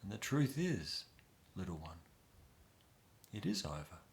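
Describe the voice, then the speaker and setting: A man guiding a soft, soothing inner-child meditation with long pauses. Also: sits low, big pitch swings, creaky. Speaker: meditation guide.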